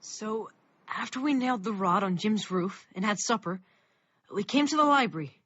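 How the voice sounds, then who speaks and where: A woman's voice: medium pitch, lively intonation, normal speed, fairly bright tone, slightly rough. A woman playing an earnest young boy who narrates his story in a radio drama. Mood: recounting. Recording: dull, close dry audio.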